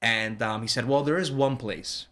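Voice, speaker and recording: A man from New Jersey, a shop owner, telling a story in a casual chat and acting out the people he quotes. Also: mid-range voice. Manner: fast, animated retelling with quoted dialogue. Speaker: guest, ice cream shop owner.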